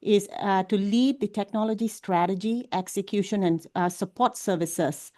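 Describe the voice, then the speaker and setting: A woman's voice: mid-range voice, normal speed, dark tone. A woman introducing herself as a panelist in a business panel discussion, fluent with occasional fillers.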